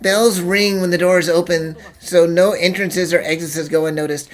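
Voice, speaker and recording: A woman voicing a fearful, nervous young student witness in an animated fan dub. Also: fairly low pitch, normal speed, close to an everyday voice. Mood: explaining. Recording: music under the voice.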